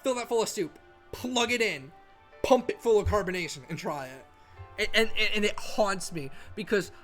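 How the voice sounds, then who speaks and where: A young man playing a game and rambling in stammering, excitable commentary. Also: high-pitched, normal speed, soft background music. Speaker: gamer.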